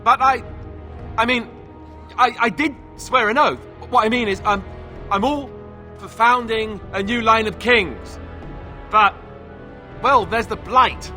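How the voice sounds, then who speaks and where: A man's voice: very high voice, slow, long pauses, sharp tone, soft background music, exaggerated voice. A man voicing a young, self-doubting heir to a throne in a fantasy video game, earnest and wry, with a conversational, hesitant way of talking. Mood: flustered, awkward.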